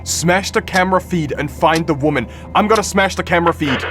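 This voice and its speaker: A male streamer with a fairly high voice reacts casually to a horror game. He thinks aloud and reasons through each choice. A little music plays behind him. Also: brisk. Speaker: streamer, host.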